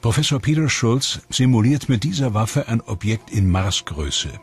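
A man's voice: medium pitch, lively intonation, normal speed, breathy edge. A man reading scripted narration for a science documentary. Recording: music under the voice. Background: background music.

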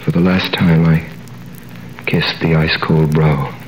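A man's voice: extremely low pitch, level tone, measured pace, poor audio. An actor playing a young prisoner who narrates his story in a 1930s radio drama, with earnest, dramatic delivery. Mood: grave narration. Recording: old radio recording with faint hiss.

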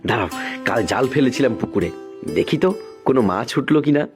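A man plays a humble village farmer in a cartoon folk tale. He is cautious and crafty, with a mid-pitched voice over a music bed. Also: lively intonation, fast, natural voice. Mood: secretive, cautious.

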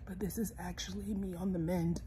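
A young woman lying in bed and talking casually to her phone camera, congested and hoarse, tired and soft-spoken, sometimes dropping to a whisper. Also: on the low side, level tone, moderate pace. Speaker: vlogger. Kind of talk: casual personal vlog. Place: bedroom, lying in bed indoors. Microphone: phone camera close, held low.

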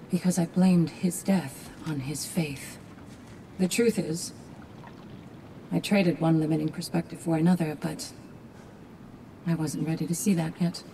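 Low, mellow, solemn woman guide. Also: slight background noise, low-quality recording. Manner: calm, measured, confiding. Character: solemn, reflective woman guide telling her past. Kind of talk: dramatic video game acting.

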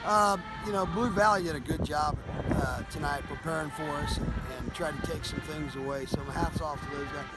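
A man in his fifties, a high school football coach, talking plainly after a night game on an outdoor field, with many fillers. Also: loud music behind the voice, low-quality recording. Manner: plain-spoken, halting with fillers. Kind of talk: post-game interview. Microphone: handheld camera close up.

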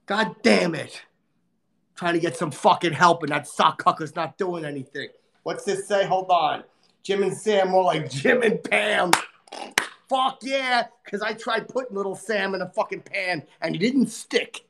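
Man putting on a crude loudmouth comic, high-pitched, gravelly, profane, exasperated. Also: unhurried, exaggerated voice. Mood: crude ranting. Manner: profane, insulting, exasperated rants. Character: crude, boastful, short-tempered adult male comic. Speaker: livestream host. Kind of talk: livestream comedy character rant.

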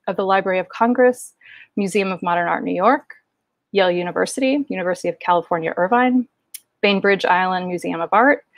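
A middle-aged woman speaks as the host of an online show. Her voice sits at a medium pitch and she presents in a casual way. The sound is dry, as on a video call from home. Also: no echo. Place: home room indoors, kitchen shelves behind. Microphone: video call, wearing headphones.

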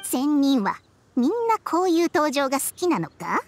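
Cocky, high-voiced snake spirit. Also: female voice, sing-song, normal speed, a little hiss or hum. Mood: sardonic. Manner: sassy, boastful, rough casual phrasing. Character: century-old talking snake spirit, cocky, sharp-tongued, proud. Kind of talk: video game voice acting.

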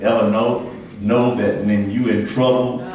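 A man's voice: on the low side, flat delivery, unhurried, darkish tone. A man preaching conversationally in church as a pastor, often calling on the congregation to respond. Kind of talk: church sermon. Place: indoor church, speaking from pulpit to congregation. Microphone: handheld microphone through PA, picked up by distant camera. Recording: echoey church hall, distant camera microphone, congregation responding.

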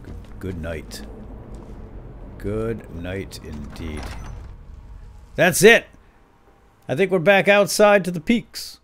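Guy doing roleplay let's play, slow pace but really animated, kinda hammy, noisy game sounds behind him. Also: medium pitch. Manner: theatrical, playful, talks to his in-game companion. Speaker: gaming video host. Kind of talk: lightly role-played let's play commentary. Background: game ambience and effects.

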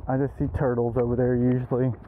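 A man's voice: mid-range voice, completely flat delivery, fast. A man doing casual, slangy, exclamatory vlog chat as an angler, recorded outdoors on an action camera. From East Texas, United States. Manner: informal, exclamatory, buddy-style slang. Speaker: angler vlogger. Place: outdoors by a creek. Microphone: first-person action camera. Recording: thin phone-like sound, outdoor noise.

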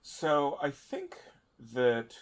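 A man chatting casually and haltingly as a player in a tabletop game. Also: a little high, wildly swooping pitch, clear, resonant tone.

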